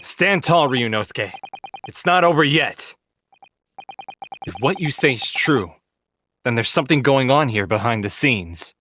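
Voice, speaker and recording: A man voicing a calm, earnest, encouraging ally in a live comedic game dub. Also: medium pitch, unhurried, long pauses. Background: game music.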